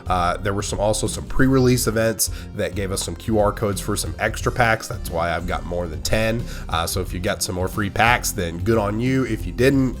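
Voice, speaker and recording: Hoarse man with a cold, low voice. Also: normal speed, hardly any pauses, over music. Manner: chatty, excitable over good pulls. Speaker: gaming YouTuber opening card packs. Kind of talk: casual gaming commentary.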